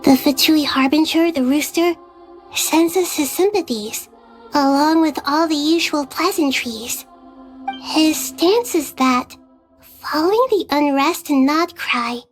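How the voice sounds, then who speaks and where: Small wise girl deity: high, gentle, measured and formal game dialogue, faint music underneath. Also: poor audio. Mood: formal, composed. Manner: measured, formal, softly earnest. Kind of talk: scripted video game dialogue.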